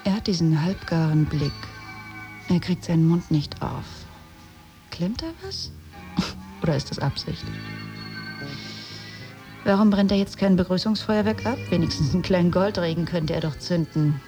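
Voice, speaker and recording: An impatient, mocking partner in a modern radio play. Her voice is on the low side, biting and poetic, with music clearly behind. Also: lively intonation, unhurried, long pauses, warm tone. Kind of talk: modern German radio drama monologue.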